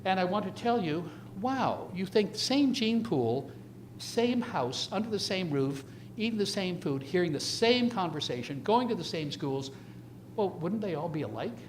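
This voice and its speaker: A man in his seventies giving an informal, reminiscing slide lecture in a halting, conversational way, speaking directly to his audience. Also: high voice, big pitch swings, a little hiss or hum, rough recording. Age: 70s. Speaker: retiring surgeon giving an emeritus lecture.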